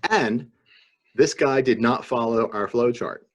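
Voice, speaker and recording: A man co-hosting a podcast with dry, deadpan joking in casual banter over a video call. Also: medium pitch, unhurried. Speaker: podcast co-host.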